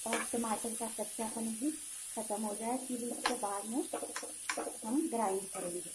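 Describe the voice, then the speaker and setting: A woman calmly talking through a home cooking recipe step by step, in a patient, instructive way. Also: a little high, background noise. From Himachal Pradesh, India. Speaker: home cooking channel host. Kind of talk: step-by-step cooking demonstration voice-over. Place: home kitchen.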